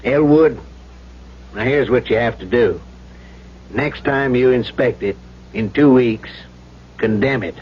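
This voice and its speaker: An actor playing a firm, skeptical, practical boss in a 1970s radio drama, speaking with brusque authority. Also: male voice, medium pitch, takes it slowly, long pauses, breathy edge. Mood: impatient, firm. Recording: dull old radio recording.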